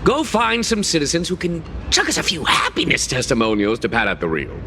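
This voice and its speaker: A man's voice: on the high side, huge pitch swings, a little fast, noisy background. A man voicing a slick, theatrical TV street reporter in a video game, with polished broadcaster delivery. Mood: annoyed, dismissive. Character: slick, showy male TV street reporter with leading questions.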